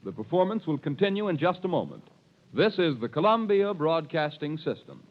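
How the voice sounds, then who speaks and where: A man's voice: on the high side, sing-song, brisk. A man announcing a radio drama in a formal 1930s broadcast style. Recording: muffled vintage radio broadcast.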